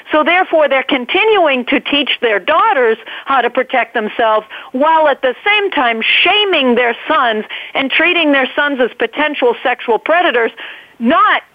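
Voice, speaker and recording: A woman's voice: high-pitched, no echo. A woman with a New England accent, a guest making an earnest, emphatic case on a call-in show, piling up one point after another. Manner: emphatic, fast-flowing, piles up points. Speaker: guest advocate. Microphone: phone. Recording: tinny phone line, dry.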